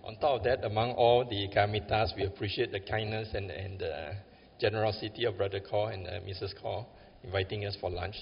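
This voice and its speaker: A man's voice: fairly low pitch, fairly even intonation, brisk, gravelly, big-room sound, muffled recording, slight background noise. A man announcing donations and thanking hosts at a meditation center.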